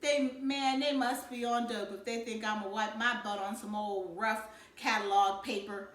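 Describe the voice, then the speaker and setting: A woman's voice: on the high side, steady tone, moderate pace, echoey room, lightly acted. A woman reading a storybook as a sassy, slangy older brother who complains in disbelief. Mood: indignant griping. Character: sassy, indignant older brother, cocky cool-kid boy.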